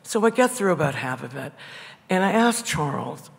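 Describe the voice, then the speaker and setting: An older woman painter with a loud voice, talking bluntly and wryly as the guest at a public conversation. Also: low voice, very animated, moderate pace, creaky. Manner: blunt, wry and digressive. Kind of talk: candid conversational talk at a public event. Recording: echoey hall.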